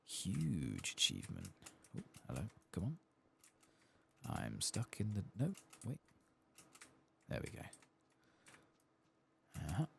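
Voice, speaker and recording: A man giving soft-spoken, partly whispered ASMR commentary on a game, murmuring and musing to himself close to the microphone. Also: low-pitched, wildly swooping pitch, slow pace, vocal fry, long pauses, slight background noise. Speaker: gaming channel host.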